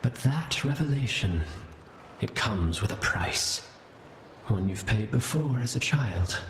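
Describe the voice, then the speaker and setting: An actor playing an ominous inquisitor in a video game, giving cryptic warnings in a measured, theatrical manner. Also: male voice, on the low side, expressive, a little hiss or hum. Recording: echoey, loud music behind.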